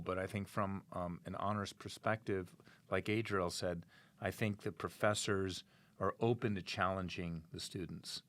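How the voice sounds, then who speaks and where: A man's voice: low-pitched, creaky. A middle-aged man answering interview questions as a proud parent, rambling with many fillers and restarts.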